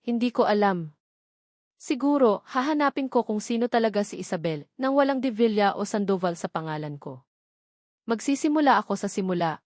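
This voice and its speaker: A woman's voice: mid-range voice, animated, fairly quick, a little mellow, dry recording, clean studio sound, natural voice. A woman voicing a proud but subdued young woman in a dramatic story. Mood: subdued, resolved.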